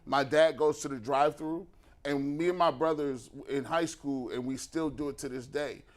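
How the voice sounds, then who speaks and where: High-voiced man joking in banter. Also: moderate pace. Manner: joking, storytelling, lively teasing. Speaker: sports radio co-host. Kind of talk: casual banter.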